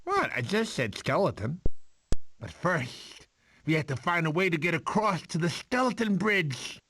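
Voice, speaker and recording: Goofy doting cartoon sloth uncle, slow and really animated, bumbling bedtime storyteller. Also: male voice, on the high side, slight background noise, heavily acted. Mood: flustered, boastful. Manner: theatrical, bumbling, stumbling over words.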